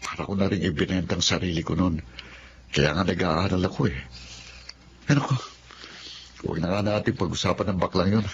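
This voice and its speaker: A man's voice: sits low, fairly quick, pauses often, slight background noise. A man with a Filipino accent playing an earnest, polite young working student and devoted son in a radio drama. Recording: dull band-limited radio drama audio, music.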